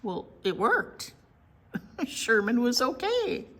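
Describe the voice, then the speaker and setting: A woman's voice: on the high side, sing-song, takes it slowly, a bit bright. A woman reading a picture book aloud expressively as a children's storytime presenter.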